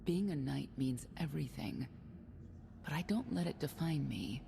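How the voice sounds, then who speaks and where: Casting: candid, self-assured game knight, creaky low voice, measured, over noisy ambience. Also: female voice, lively intonation, warm tone, heavily acted. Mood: resolute, guarded. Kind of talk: video game cutscene acting.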